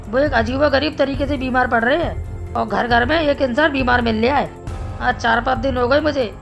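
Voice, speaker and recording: A woman voicing a concerned male village doctor in an animated Hindi story, explaining things in a measured way. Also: high voice, gravelly. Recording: music behind the voice.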